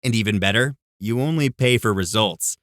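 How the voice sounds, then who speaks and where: A man's voice: fairly low pitch, normal speed, fairly bright tone, no room sound. Man reading an ad, dry sound. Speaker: ad announcer. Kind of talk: scripted ad read.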